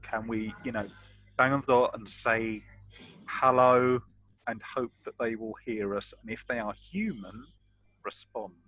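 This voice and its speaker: Guy in a tabletop rpg pushing his plan, bright forceful voice, mid pitch. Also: a little slow, dry recording, rough recording. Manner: insistent, urging. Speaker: player in a tabletop game. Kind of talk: casual group discussion.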